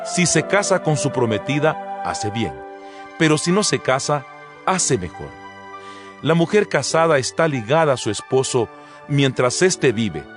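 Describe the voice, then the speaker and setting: An actor playing an earnest apostle addressing his congregation in a measured, emphatic, didactic dramatized scripture reading. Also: medium pitch. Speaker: narrator of an audio Bible. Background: background music.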